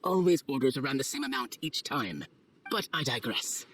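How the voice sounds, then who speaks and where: A man's voice: mid-range voice, expressive, normal speed, fairly bright tone, husky. A man playing an affable but firm wine merchant and shopkeeper in a video game, with an amiable haggling manner. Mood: friendly.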